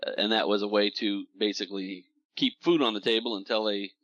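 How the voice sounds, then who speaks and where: A man's voice: fairly low pitch, steady tone, a little fast, a bit bright, dry recording, highs cut off. A man interviewing a guest in an easygoing, conversational way. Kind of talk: casual interview questions.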